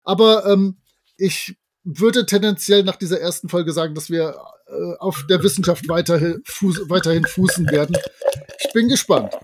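A man with a high, rather husky voice co-hosts a podcast. He chats casually, retelling a story and commenting on it as he goes. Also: moderate pace. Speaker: podcast co-host. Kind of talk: casual discussion of an audio drama, retelling and commenting.

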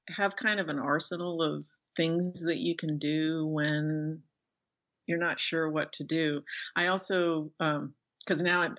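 A woman speaking casually as a guest author in an interview, thinking aloud in a rambling, self-effacing way. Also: deep voice. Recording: dull lo-fi call audio.

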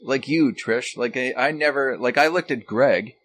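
A man's voice: medium pitch, moderate pace, a bit bright. A man chatting casually as a guest author, joking and self-deprecating. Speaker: author, former radio technician. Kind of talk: casual chat. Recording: noticeable background noise.

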